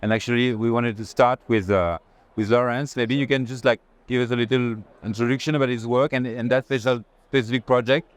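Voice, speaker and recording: A man giving a live curator's tour at an art fair, speaking haltingly and restarting phrases mid-thought. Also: mid-range voice, fairly even intonation, smooth. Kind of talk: live walking tour conversation.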